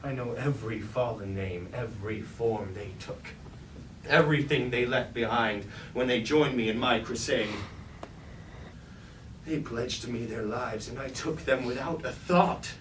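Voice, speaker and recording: An actor playing a fallen robot warlord on stage, grandiose and commanding, in formal, declamatory tragic verse. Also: male voice, mid-range voice, unhurried, natural voice. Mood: proud, defiant. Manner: declamatory, archaic formal speech. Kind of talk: staged reading of mock Greek tragedy. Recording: roomy, distant mic, clear background noise.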